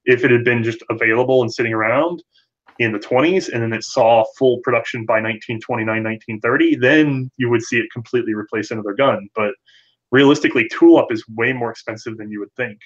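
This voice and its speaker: A man's voice: lowish voice, fairly bright tone, muffled recording. A man giving conversational expert explanations as an interview guest, thinking aloud in long runs. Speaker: podcast guest, firearms history presenter.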